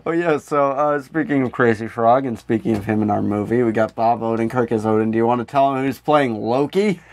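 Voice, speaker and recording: A man's voice: medium pitch, brisk. A man co-hosting a comedy podcast, chatting casually and riffing irreverently, with some swearing and frequent laughter. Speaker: podcast co-host.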